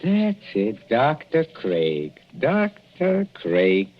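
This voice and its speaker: Casting: menacing older husband, sugary and halting, unhurried, for vintage radio suspense; dull, noisy old recording. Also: male voice, mid-range voice, huge pitch swings, full character voice. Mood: probing, doting. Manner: halting, repeats himself, falsely tender and coaxing. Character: menacing, doting, clumsy old heavyset husband, secretly a poisoner. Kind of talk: 1940s radio suspense acting.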